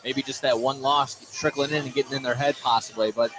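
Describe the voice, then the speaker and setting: A man's voice: mid-range voice, fairly even intonation, brisk, noisy background. A man calling live basketball play-by-play as an announcer, often stumbling and correcting himself. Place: indoor basketball game, courtside broadcast.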